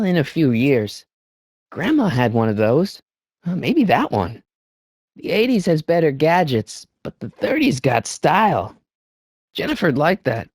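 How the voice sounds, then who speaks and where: An excitable, wisecracking eighties American teenage boy as a video game hero. His slangy, youthful delivery swings widely in pitch. The recording is close and dry, with no room sound. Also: husky, no room sound, exaggerated voice. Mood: casual, curious. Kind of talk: animated video game voice lines.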